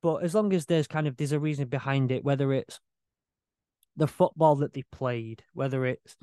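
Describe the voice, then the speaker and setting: A man's voice: mid-range voice, moderate pace, dark tone, clean tone, no room sound, studio quality. A man appearing as a podcast guest, chatting casually and searching for his words as he goes. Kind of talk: casual chat.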